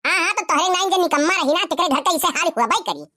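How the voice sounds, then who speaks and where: Very high-pitched, bright, Awadhi accent, cheeky, scolding, argumentative cartoon villager. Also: fast, dry recording, studio quality. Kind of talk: Awadhi cartoon comedy voice acting.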